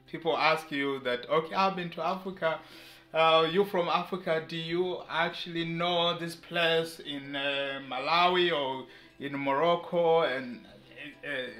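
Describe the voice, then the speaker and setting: A man's voice: medium pitch, bright, forceful tone. A man in his thirties from eastern Congo, whose first language is Mashi, talking casually to camera with frequent laughs and amused disbelief. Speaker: vlogger. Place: indoor living room with shelves. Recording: echoey room, faint music.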